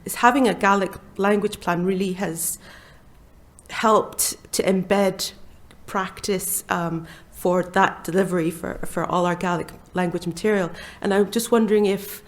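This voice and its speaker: A woman in her forties speaking as a panelist, earnest and measured, with frequent hesitations. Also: medium pitch, fairly even intonation. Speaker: library learning officer on a panel. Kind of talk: live panel discussion talk.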